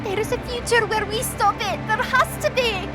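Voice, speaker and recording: A woman's voice: very high voice, fairly even intonation, normal speed. A woman playing a frightened but determined young heroine in an audio drama, urgent and pleading. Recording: loud dramatic music behind the voice.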